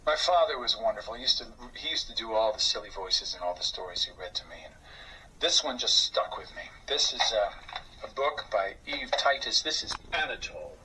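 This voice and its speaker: Animated, bright-voiced male storyteller. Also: fairly low pitch. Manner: theatrical, drawn-out delivery. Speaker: storyteller, host of story readings. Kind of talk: expressive children's story read-aloud. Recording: slightly distant mic, faint noise.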